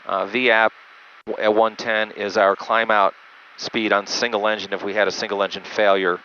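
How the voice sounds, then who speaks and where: A man who pilots a jet, giving an unscripted, methodical instructional walkthrough with halting speech over a cockpit headset intercom. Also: on the low side, level tone, bright, forceful tone. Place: small jet cockpit in flight. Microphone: aviation headset through intercom. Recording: muffled band-limited cockpit headset audio, engine noise.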